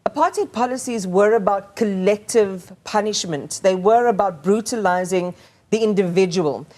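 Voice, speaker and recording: A woman's voice: medium pitch, a little mellow. A woman anchoring a news interview, asking long, thoughtful questions and stumbling over repeated words.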